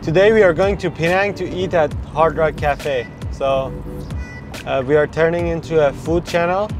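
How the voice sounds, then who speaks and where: A man in his twenties hosts a casual road-trip vlog. His voice sits a little high and he pauses as he goes. Music plays clearly over his talk. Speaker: vlog host. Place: mostly inside a car.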